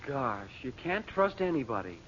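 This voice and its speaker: An actor playing a brash, slangy teenage son in an old-time radio adventure, boyish and exclamatory. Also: male voice, medium pitch, very animated, moderate pace, fairly bright tone. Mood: indignant. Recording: dull, noisy old radio recording, distant.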